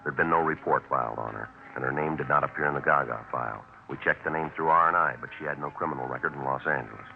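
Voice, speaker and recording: Very deep, deadpan police sergeant. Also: male voice, quick pace, few pauses. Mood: matter-of-fact. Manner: deadpan, clipped, terse. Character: businesslike male police sergeant, clipped, patient, matter-of-fact. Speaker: detective and narrator. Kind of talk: 1950s radio crime drama acting. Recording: muffled old radio recording, clear hiss.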